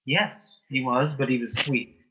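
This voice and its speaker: A man answering as an interview guest in a conversational way, with brief, deliberate replies. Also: medium pitch, fairly even intonation, pushed and bright, husky. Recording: dull, lo-fi sound.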